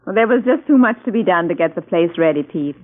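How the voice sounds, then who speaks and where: A woman's voice: lowish voice, expressive, quick pace, lightly acted. An actress playing a tired, wry American wife and mother in a mid-century radio drama. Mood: weary. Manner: wry, weary. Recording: tinny band-limited old radio broadcast, music behind.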